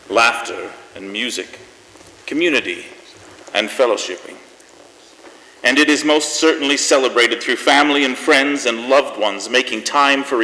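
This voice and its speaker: A man's voice: fairly low pitch, sharp tone. A man playing a genial, sentimental host of a Christmas variety show, speaking and reading from the stage. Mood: warm, sincere. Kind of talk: stage hosting and scripted reading. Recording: echoey hall with faint noise.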